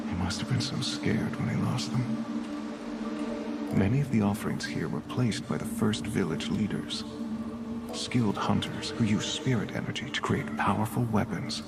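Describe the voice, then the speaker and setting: A gravelly wise village elder. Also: male voice, on the low side, moderate pace. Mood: solemn. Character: wise older male village elder and mentor. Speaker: mentor character. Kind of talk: game dialogue. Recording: game audio with loud music behind.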